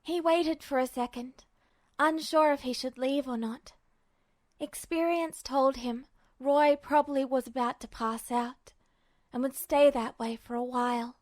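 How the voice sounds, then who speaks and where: A woman reading a story aloud as an amateur fiction narrator, in an expressive scripted style. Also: high-pitched, a little slow, dry recording.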